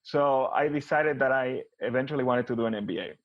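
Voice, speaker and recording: A man with an Argentine accent speaks at an ordinary pitch in a casual interview, often restarting and repeating words as he thinks. The sound is dry with no room echo, and somewhat muffled. His delivery is conversational and unscripted. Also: fairly quick. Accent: Argentine Spanish. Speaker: guest, business consultant.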